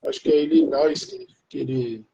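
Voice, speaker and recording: Brazilian man for relaxed chat, dark warm tone, meandering delivery, muffled audio. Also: medium pitch, moderate pace, creaky. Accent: Brazilian Portuguese. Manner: rambling, many restarts and fillers. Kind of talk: casual chat.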